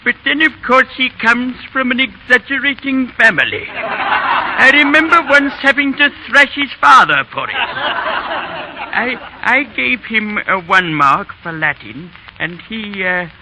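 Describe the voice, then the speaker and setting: An actor playing an elderly English schoolmaster, fond, donnish and gently humorous, speaking haltingly in a 1930s radio drama. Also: male voice, very high voice. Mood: grateful, teasing. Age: old man. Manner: halting, chuckling, sentimental old-man delivery. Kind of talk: 1930s radio drama acting, farewell speech. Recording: noisy vintage 1930s radio broadcast.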